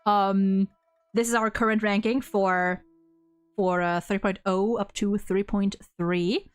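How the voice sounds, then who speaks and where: A woman livestream host chatting casually and excitably, rambling as she goes. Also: mid-range voice, slow pace, smooth, faint music behind. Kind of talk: casual chat.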